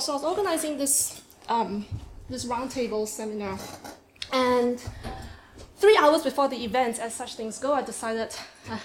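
A woman with a Singaporean accent presents her research at a conference in a fairly high voice, lively and self-deprecating, filling pauses with ums. The sound is echoey, as in a lecture room. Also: a little hiss or hum. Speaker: academic speaker. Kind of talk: conference talk.

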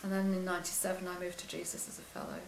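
A quiet, soft-spoken older woman with a low voice and a gravelly edge, pausing often to find her words. She recalls her teaching career for an interviewer. The recording sounds roomy, with a faint hiss underneath. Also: flat delivery. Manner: soft-spoken, hesitant, many ums and restarts. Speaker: computer science lecturer interviewed about her career. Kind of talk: oral history interview.

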